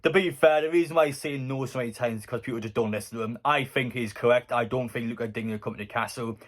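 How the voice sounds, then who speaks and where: A man's voice: medium pitch, fast talker. A man giving conversational, opinionated football news commentary as a fan YouTuber.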